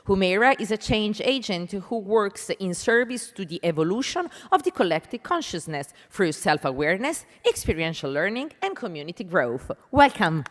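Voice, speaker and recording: Animated Italian-accented woman moderating on stage. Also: medium pitch. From Italy. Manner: emphatic, deliberate, poses questions to listeners. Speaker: panel moderator and educator. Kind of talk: conference stage presentation addressing an audience. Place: large indoor conference hall. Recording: reverberant hall sound.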